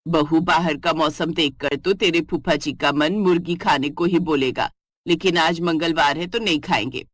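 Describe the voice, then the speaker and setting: Fussy older mother-in-law for a cartoon, voiced by a woman, lowered, complaining voice. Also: moderate pace, no echo, clean studio sound.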